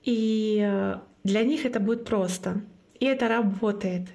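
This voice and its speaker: A woman's voice: medium pitch, a little slow, creaky, a little mellow. Earnest woman telling her story. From Northern Russia. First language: Komi. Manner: earnest, repeats key phrases for emphasis. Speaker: guest, club founder. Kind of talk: interview, personal story.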